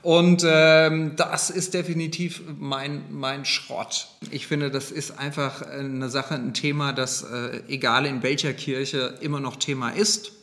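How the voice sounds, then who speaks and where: A man's voice: medium pitch, level tone, slow pace, few pauses, a bit bright. A man in his forties, a sexton co-hosting a church podcast, chatting casually with jokes and many fillers. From Germany. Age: 40s. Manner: joking, self-deprecating, hesitant with fillers. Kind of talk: casual chat.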